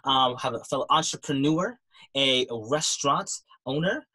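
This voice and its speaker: A man's voice: mid-range voice, expressive, moderate pace, sharp tone. A man hosting a podcast, greeting his guest with enthusiasm and plenty of fillers. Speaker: podcast host. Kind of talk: casual interview.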